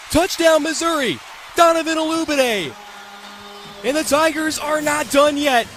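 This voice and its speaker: A young American man calling live football on the radio as play-by-play announcer, in punchy, clipped, excitable phrases. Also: sits high. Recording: live stadium ambience noise.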